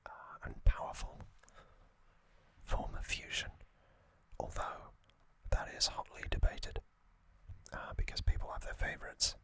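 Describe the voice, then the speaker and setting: A man hosting ASMR, whispering trivia narration in a breathy, unvoiced voice with soothing, deliberate short phrases. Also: a bit bright, low-quality recording. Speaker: ASMR host. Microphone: close microphone.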